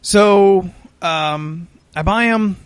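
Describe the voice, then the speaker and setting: An American man hosting a podcast, telling a story casually in a halting, sweary way. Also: sits high, a little hiss or hum. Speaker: podcast host.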